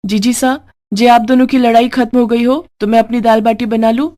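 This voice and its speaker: A female narrator puts on a young Rajasthani daughter-in-law for a family story. Her voice is pitched up, with a Rajasthani accent and a pouty, pleading insistence. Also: steady tone, a little fast, warm tone, lightly acted. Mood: insistent.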